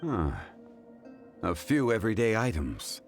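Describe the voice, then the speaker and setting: A man voicing a composed, erudite gentleman in a video game, speaking in a measured, formal and precise manner. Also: lowish voice, sing-song, loud music behind the voice. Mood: pensive, analytical. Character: composed, erudite gentleman scholar, calm and analytical.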